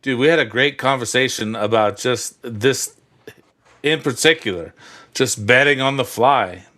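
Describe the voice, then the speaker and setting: A man co-hosting a sports podcast, laid-back and joking. His voice has a bright, forceful edge at a middle pitch. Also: unhurried. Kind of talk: casual podcast chat.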